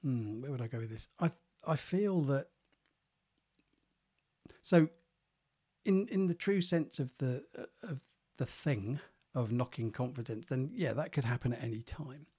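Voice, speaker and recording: A man co-hosting a podcast, slightly croaky from a cold, talking thoughtfully with hesitations and repeated words. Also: medium pitch, expressive, unhurried, dark tone. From England. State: croaky, getting over a cold. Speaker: podcast co-host, therapist. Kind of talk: casual podcast chat.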